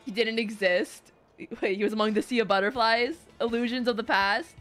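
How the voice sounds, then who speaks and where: A woman's voice: on the high side, fairly even intonation, moderate pace, fairly bright tone, soft background music. A young woman giving casual, playful, reactive commentary as she streams a video game.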